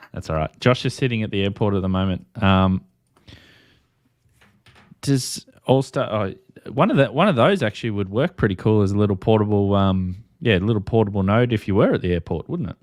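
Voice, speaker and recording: Male livestream host, slightly deep, casual and unscripted, recorded dry. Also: animated, moderate pace, dry recording. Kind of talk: casual live-stream chat about ham radio.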